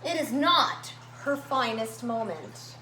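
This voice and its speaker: A woman reading a picture book aloud to young children, with expressive, dramatic emphasis and drawn-out words. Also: on the high side. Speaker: children's story-time reader at a library. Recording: roomy echo, faint background noise.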